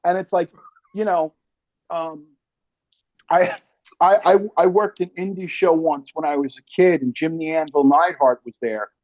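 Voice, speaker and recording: A man with a fairly high voice hosts a casual podcast, close and dry on a slightly dull recording. He tells stories in loose slang, with swearing and acted-out quotes. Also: unhurried, no echo. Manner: animated storytelling, peppered with slang, swearing and quoted asides. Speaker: podcast host.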